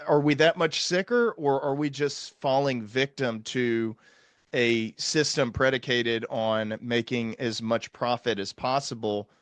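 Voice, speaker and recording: A man speaking as a podcast host in an earnest, conversational way, deliberate and emphatic. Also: medium pitch, a bit bright, no room sound.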